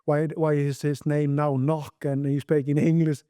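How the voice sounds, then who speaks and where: A young Icelandic man in a podcast interview, speaking his second language in a fairly high, mellow voice. He talks earnestly, stumbling over repeated words and restarting sentences, and the sound is dry and close. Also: flat delivery, moderate pace, clear, resonant tone, no echo. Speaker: guest entrepreneur.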